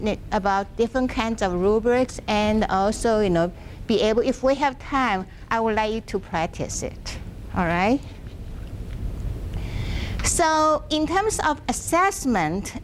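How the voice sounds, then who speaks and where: A soft-spoken woman presenting a university workshop, speaking haltingly with restarts, seated in a classroom without a microphone. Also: fairly high pitch, slight background noise. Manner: halting, frequent restarts and fillers. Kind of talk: academic workshop lecture. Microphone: no microphone, room sound.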